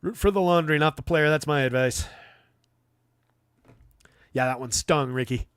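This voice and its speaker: A man's voice: on the high side, moderate pace, fairly bright tone, clear, resonant tone, no echo. A man hosting a live fantasy basketball stream, talking through analysis off the cuff in a conversational, dry way. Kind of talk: live unscripted sports analysis with chat replies.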